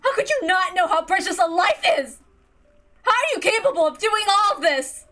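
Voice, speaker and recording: A woman voicing a horrified, accusing married mother with shrill, impassioned outbursts in a visual novel reading. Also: expressive, normal speed, a bit bright, faint music behind.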